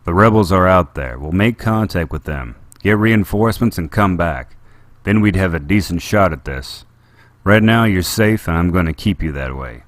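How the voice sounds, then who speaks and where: A man's voice: on the low side, normal speed, hardly any pauses, no room sound, natural voice. Han Solo, a man doing a brash smuggler captain. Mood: firm. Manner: blunt, gruff, firm.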